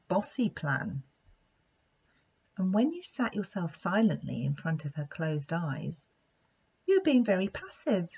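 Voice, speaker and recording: A woman voicing a wise, patient male lion who teaches gently and deliberately in a children's storybook. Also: on the low side, slightly rough, highs cut off, exaggerated voice. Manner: slow, deliberate, instructive.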